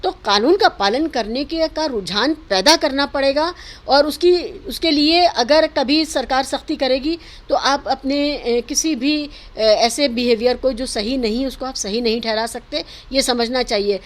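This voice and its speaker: High-pitched woman, emphatic commentator. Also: a little slow. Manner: emphatic, argumentative, mixes in English words and phrases. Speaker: interviewee giving social and political commentary. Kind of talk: earnest opinion interview, spontaneous speech.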